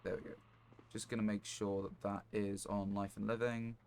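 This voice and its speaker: Low-voiced man commentating a game, noisy background. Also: little pitch movement, moderate pace, a little mellow. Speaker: game streamer. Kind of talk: live gameplay commentary, casual thinking aloud. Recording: clear background noise, clicks.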